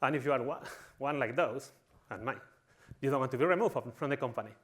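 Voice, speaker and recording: A man's voice: mid-range voice, fast talker, fairly bright tone. Spanish-accented man giving a tongue-in-cheek talk. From Spain. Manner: tongue-in-cheek, mock-villainous. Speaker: conference speaker. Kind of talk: humorous conference talk to a live audience.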